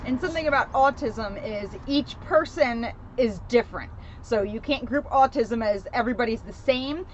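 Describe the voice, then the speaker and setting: Higher-pitched woman explaining, noisy recording. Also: normal speed. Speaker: police officer co-reading a children's book. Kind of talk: informal explanatory asides to children. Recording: dull lo-fi sound, clear background noise.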